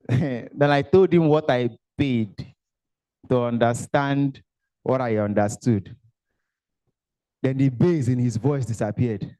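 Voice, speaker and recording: A young man with a Nigerian accent giving an animated motivational talk at a career summit, dropping Pidgin asides and bantering with the audience. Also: medium pitch, slow pace, long pauses, low-quality recording. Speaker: motivational speaker. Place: event hall.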